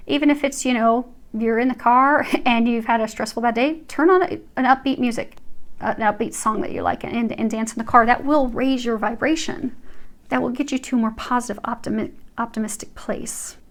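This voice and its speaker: A woman's voice: fairly high pitch, brisk. A woman giving an earnest, reassuring tarot reading, speaking straight to her viewers. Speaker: tarot reader.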